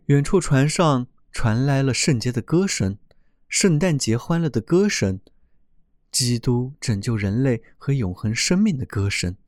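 A man reading a children's fairy tale aloud in a gentle, expressive storytelling manner. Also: mid-range voice, unhurried, no room sound, studio quality.

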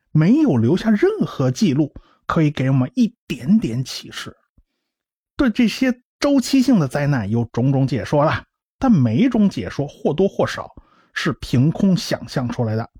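A man's voice: a little high, sing-song, normal speed, mellow, husky, dry recording, studio quality. A man playing a cocky, talkative scientist in a radio drama, with a blustering, explanatory way of talking. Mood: explaining, storytelling. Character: cocky, talkative male scientist and science populariser, brash and lively. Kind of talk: Chinese radio drama acting.

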